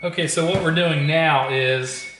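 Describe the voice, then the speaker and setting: A man's voice: a little high, steady tone, unhurried. Middle-aged man thinking aloud, noisy recording. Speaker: vlogger. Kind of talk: casual how-to vlog narration. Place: car interior and indoor workbench.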